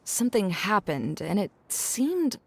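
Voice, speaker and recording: A woman's voice: mid-range voice, sing-song, unhurried, creaky. A woman playing a blunt, formal scholar in a video game, speaking in a matter-of-fact way. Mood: regretful, explaining.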